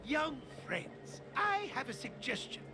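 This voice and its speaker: An actor playing an elderly, courteous artisan master in a video game, speaking in formal, old-fashioned, theatrical phrases. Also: male voice, extremely high pitch, sing-song, slow, background noise, heavily acted. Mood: thoughtful.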